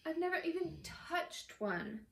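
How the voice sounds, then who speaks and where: A woman voicing a cheeky, excitable schoolboy wizard in a storybook reading, in an echoey room. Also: fairly high pitch, a little slow. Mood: envious awe. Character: envious, cheeky schoolboy wizard, sarcastic best friend. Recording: roomy echo, distant mic, faint noise.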